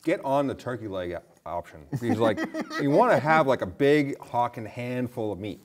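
A man's voice: mid-range voice, big pitch swings, moderate pace. A man around his forties, chatting in a rambling, offhand way as a studio guest on a lapel mic. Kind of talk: casual chat. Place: indoor studio set with curtains.

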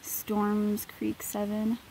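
Slow, gravelly-voiced woman on a trail. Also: medium pitch, completely flat delivery, warm tone, a little hiss or hum, poor audio. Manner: musing, pointing out spots on a map. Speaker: hiker vlogger. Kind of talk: casual on-trail commentary. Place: outdoors on a forest trail.

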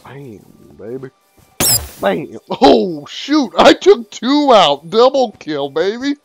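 A man casually commenting on his own gameplay, playful and laughing, with sudden excited yelps. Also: sits high, slow, gravelly, faint music behind. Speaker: gaming channel host.

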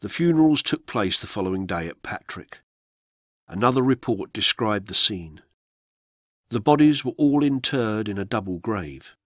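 A man reading historical accounts as scripted radio narration, in a measured, solemn storytelling manner. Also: on the low side, lively intonation, a bit bright, no echo, clean studio sound.

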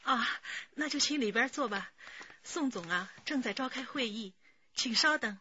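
A woman's voice: on the high side, lively intonation, moderate pace, sharp tone, lo-fi sound, a little hiss or hum. An actress playing a courteous young female office secretary in a radio crime drama.

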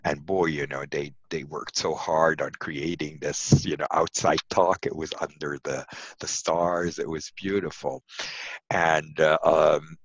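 Older Lebanese-born man, low husky voice, dry muffled call audio, thoughtful and rambling. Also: level tone, measured pace, no room sound. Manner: warm, reflective, with frequent fillers and restarts. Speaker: lecturer and radio host being interviewed. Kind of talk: casual conversational interview. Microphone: video call. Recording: dull lo-fi video call audio.

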